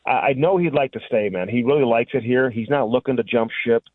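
A man's voice: medium pitch, a little fast, no echo, phone-quality sound. A man giving conversational, off-the-cuff sports analysis as a reporter guesting on a radio show. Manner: conversational, frequent "you know" and "listen".

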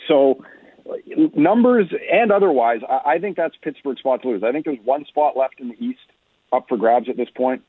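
Husky man on a thin phone line. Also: medium pitch, animated, normal speed, no room sound. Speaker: hockey analyst guest. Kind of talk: off-the-cuff sports analysis. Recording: muffled, phone-like radio call-in line.

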